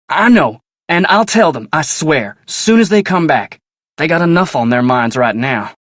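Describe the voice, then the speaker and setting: An actor playing a polite, earnest young ranch hand in a video game, with a folksy American Western drawl and a plainspoken way of talking. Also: male voice, mid-range voice, no room sound, studio quality, lightly acted. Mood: flustered. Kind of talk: video game character dialogue.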